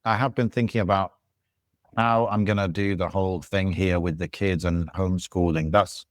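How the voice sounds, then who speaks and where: A man with a low voice talks casually on a podcast, working through his thoughts in halting, restarting phrases. The sound is dry and close. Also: fairly even intonation, no room sound. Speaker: podcast guest. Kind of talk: casual chat. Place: living in Algiers.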